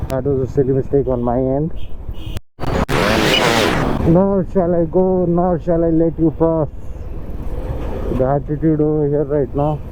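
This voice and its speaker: A man's voice: on the high side, unhurried, long pauses. A young man from Delhi with an Indian English accent, Hindi his first language, giving wry, chatty commentary and riding advice while riding a motorcycle through city traffic, recorded on a helmet camera. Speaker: motorcycle vlogger. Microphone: helmet-mounted action camera mic. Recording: tinny, lo-fi helmet cam audio with clear road noise. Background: traffic and engine noise.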